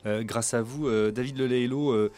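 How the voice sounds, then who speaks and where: A man co-hosting a French radio talk show, introducing guests and asking questions in a measured way with small hesitations. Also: medium pitch, steady tone, darkish tone. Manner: measured, interviewing with fillers. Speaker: radio co-host.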